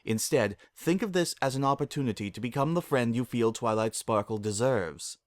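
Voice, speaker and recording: A man voicing a regal, gentle princess mare who speaks in a formal, measured and solemn way for a story reading. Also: mid-range voice, no room sound, close to an everyday voice. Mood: kindly counsel. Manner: formal, measured, archaic courtesy.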